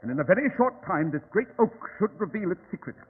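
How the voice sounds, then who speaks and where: An actor playing a shrewd, commanding detective, precise and formal, in old-time radio drama style. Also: male voice, high voice, very animated, fast. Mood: intent, dramatic. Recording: tinny, noisy old radio recording.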